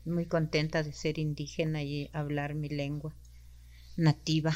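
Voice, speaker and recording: A woman from Mexico, answering interview questions softly and hesitantly, with many fillers. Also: low voice, level tone, unhurried, dull audio. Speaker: interview guest.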